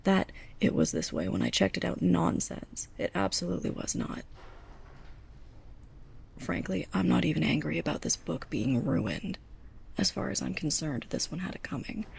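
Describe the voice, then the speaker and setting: A young woman playing a sarcastic, scolding, snobbish librarian's assistant in a close-up ASMR roleplay, breathy and often near a whisper. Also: sits low, very animated, moderate pace, a little hiss or hum, heavily acted. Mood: annoyed, sarcastic. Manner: sarcastic, exasperated, pointed delivery.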